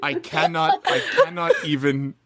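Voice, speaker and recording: Lively, joking young man co-host. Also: on the high side. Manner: jokey, laughs often, quick asides. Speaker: podcast co-host. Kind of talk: casual chat.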